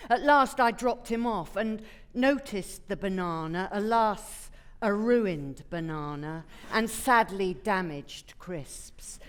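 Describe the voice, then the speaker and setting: A woman's voice: medium pitch, lively intonation, takes it slowly, few pauses, clear, resonant tone. A woman actor reciting a comic poem on a theatre stage. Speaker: actor reading poetry. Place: theatre stage before an audience.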